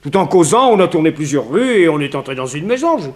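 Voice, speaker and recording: Flustered older widower, animated voice. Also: male voice, on the high side, fast. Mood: flustered, protesting. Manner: stammering, hesitant, indignant protests. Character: flustered, evasive, respectable older widower under police questioning. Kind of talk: 1960s French radio serial acting.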